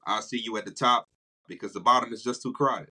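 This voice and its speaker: A man's voice: mid-range voice, a little fast. A man hosting a podcast, speaking emphatically and saying "man" a lot as he wraps up with his guest. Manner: warm-hearted, emphatic, frequent "man". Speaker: podcast host. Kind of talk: casual interview talk.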